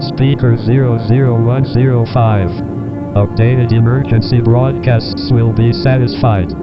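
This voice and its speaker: A man's voice: mid-range voice, steady tone, measured pace. A man reading a formal, scripted emergency alert as a broadcast announcer, on a dull lo-fi recording. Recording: dull lo-fi broadcast, loud music. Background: loud music.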